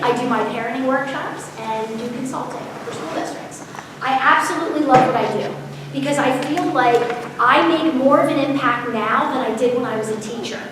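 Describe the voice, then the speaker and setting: Loud unmiked woman in an echoey hall. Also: a little high, background noise. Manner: loud, energetic, unmiked voice projecting to a room. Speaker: parenting seminar speaker. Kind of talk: live seminar talk to parents. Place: school auditorium or large hall. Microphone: camera far off, speaker not miked. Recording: echoey hall recorded from far off.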